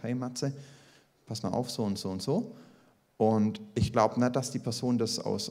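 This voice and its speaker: A man's voice: lowish voice, creaky, darkish tone. A man sharing a personal faith testimony at a church service, speaking reflectively and searching for words. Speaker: church member giving testimony.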